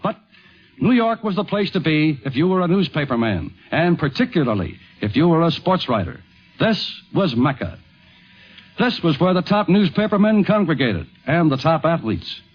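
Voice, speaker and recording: A man's voice: on the high side, big pitch swings, normal speed. A man narrating a scripted 1950s radio documentary. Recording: muffled vintage 1950s radio broadcast.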